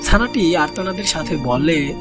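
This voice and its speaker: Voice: mid-range voice, expressive, moderate pace. A narrator reading a scripted children's animal story for a cartoon. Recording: music bed behind narration.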